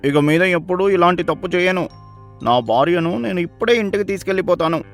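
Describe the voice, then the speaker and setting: Man playing a high-voiced, flustered village husband. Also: a little fast, faint music behind. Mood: apologetic, anxious. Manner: pleading, flustered. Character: cowed, apologetic young village husband. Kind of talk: acted moral-story cartoon dialogue.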